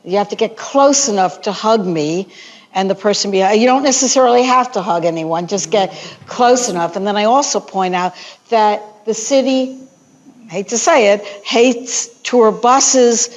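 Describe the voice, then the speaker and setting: A woman's voice: medium pitch, moderate pace. Chatty woman tour guide on a panel. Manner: animated, chatty, many "you know" fillers. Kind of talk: panel discussion.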